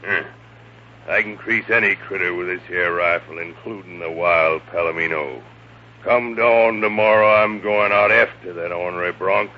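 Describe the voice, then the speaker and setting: An actor playing a boastful, menacing outlaw horse thief in a radio western, with a gruff American Western drawl. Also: male voice, deep voice, steady tone, normal speed, natural voice. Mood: boastful menace. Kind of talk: old-time radio western acting. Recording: muffled vintage radio recording, faint hum.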